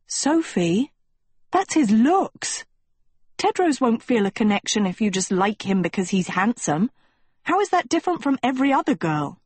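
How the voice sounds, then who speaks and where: Glum storybook teen girl, done by a female reader: blunt, emphatic, exasperated, slightly raised and breathy. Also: on the high side, very animated, normal speed, a little mellow, no room sound, voice pushed up. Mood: pleading, frustrated.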